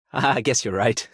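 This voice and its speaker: A woman's voice: rumbling low, background noise, heavily acted. A woman voicing a scrappy, bold young dreamer in a video game, cocky yet a little sheepish. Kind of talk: video game character voice lines.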